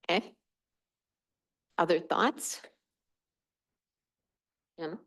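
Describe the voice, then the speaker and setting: A woman chairing a village board meeting in a meeting room, brisk and upbeat as she moves the agenda along. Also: fairly low pitch, creaky, a bit bright, breathy edge.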